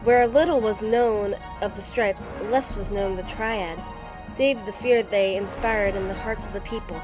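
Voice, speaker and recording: A woman's voice: medium pitch, fairly even intonation, moderate pace, poor audio. A woman giving serious, dramatic scripted narration of a dystopian fantasy world in a storytelling delivery. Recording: music behind the voice. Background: background music.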